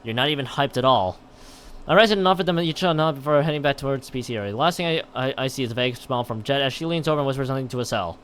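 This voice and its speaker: A man's voice: mid-range voice, very fast, fairly bright tone, a little hiss or hum. A man commentating on a game stream and reading game text aloud in a rambling way, often stumbling and correcting himself. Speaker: live streamer.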